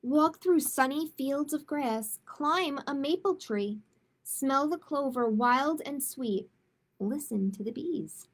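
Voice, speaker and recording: A woman speaking as a children's storytime host, in a measured manner suited to picture books and simple chat with families. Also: sits high, lively intonation, a little hiss or hum. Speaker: children's librarian hosting storytime. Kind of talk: picture book read-aloud, then casual announcements.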